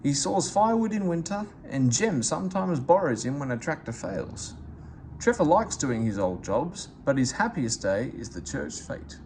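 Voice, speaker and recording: A man narrating a children's storybook aloud, in a gentle, expressive way. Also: fairly high pitch, moderate pace, a little hiss or hum.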